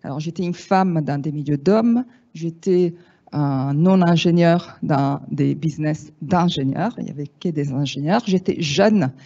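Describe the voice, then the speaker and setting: Italian woman in her fifties, warm-voiced. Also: sits low, a little slow. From Italy. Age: 50s. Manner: lively, frank, wry asides. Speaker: speaker at a panel. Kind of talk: talk to an audience. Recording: dull lo-fi sound.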